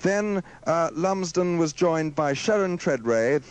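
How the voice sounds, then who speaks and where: A man's voice: a little high, lively intonation, slow pace, clean tone. A man giving a cricket report in the style of a sports commentator.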